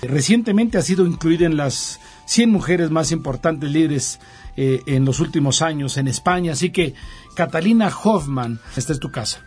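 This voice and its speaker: A man's voice: fairly high pitch, normal speed, darkish tone, husky, faint music behind. A man hosting a TV talk show, joking with his co-host and formally introducing a guest.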